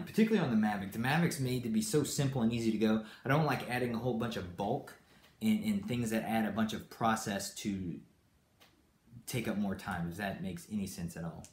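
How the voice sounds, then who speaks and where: Brief: man with a lowish voice, raised in Brazil, chatty stream host who loses his thread, echoey room. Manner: rambling, with many fillers and restarts. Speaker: channel host. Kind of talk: live-stream chat answering viewers.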